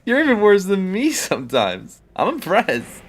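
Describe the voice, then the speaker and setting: A woman voicing a carefree, caring teenage schoolboy in an anime-style audio drama, earnest, teasing and expressive. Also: mid-range voice, moderate pace. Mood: cheerful.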